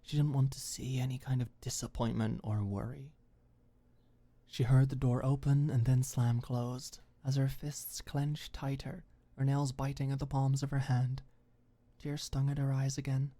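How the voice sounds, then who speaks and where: A woman's voice: rumbling low, flat delivery, measured pace, dark tone. A woman reading scripted fiction as an audiobook narrator, in an intimate storytelling style.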